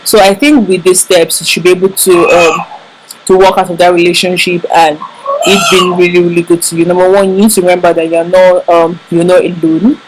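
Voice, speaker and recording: A woman's voice: on the low side, flat delivery, brisk, noisy background. A woman from Nigeria with a Nigerian English accent, giving relationship advice to camera in an earnest, emphatic way. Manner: earnest, emphatic, repeats words for stress. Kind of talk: casual advice talk to camera.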